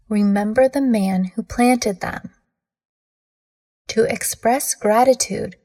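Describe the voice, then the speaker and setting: A woman leading a scripted guided meditation in a measured, soothing manner with deliberate pauses between phrases. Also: fairly high pitch, gravelly, a little mellow.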